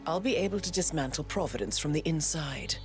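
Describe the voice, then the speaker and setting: An actress playing a composed, scheming female handler in a game cutscene, with measured, controlled delivery. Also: deep voice, lively intonation. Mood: confiding. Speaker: video game character. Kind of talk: cinematic game dialogue. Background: game soundtrack music.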